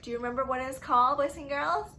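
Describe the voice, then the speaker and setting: A woman reading and teaching for young children as a story-time host, in simple, drawn-out phrases addressed to the kids, on a noisy recording. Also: high-pitched, sharp tone. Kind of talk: read-aloud narration and playful teaching to young children.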